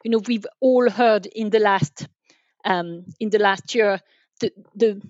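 A woman speaking as a gives conversational answers as an interview guest on business matters. She talks haltingly, circling back over words as she thinks. The sound is dry and somewhat dull, like a band-limited online call. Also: mid-range voice, darkish tone, dry recording. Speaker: podcast guest, enterprise sales manager.

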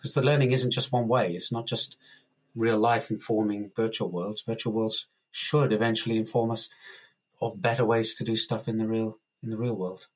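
A man being interviewed as a guest author, talking in a relaxed, easygoing way and chuckling now and then. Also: lowish voice, normal speed. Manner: relaxed, chuckles often, uses analogies. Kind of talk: casual interview talk.

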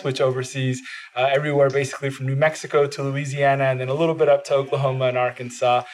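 A man whose first language is Spanish, talking earnestly as a podcast guest in a studio, with frequent "uh" fillers. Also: medium pitch. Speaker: podcast guest, bilingual education advocate. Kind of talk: casual interview talk.

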